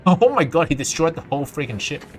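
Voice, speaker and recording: A man livestreaming a video game, reading dialogue aloud haltingly and dropping in his own reactions. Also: on the high side, sing-song, darkish tone, soft background music. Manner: reads on-screen text aloud haltingly, interjects reactions. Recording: clearly noisy mic with clicks.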